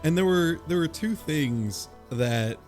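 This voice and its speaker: A man's voice: fairly high pitch, animated, slow pace, darkish tone, faint music behind. A man co-hosting a podcast, talking casually about a game. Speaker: podcast co-host. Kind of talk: casual chat.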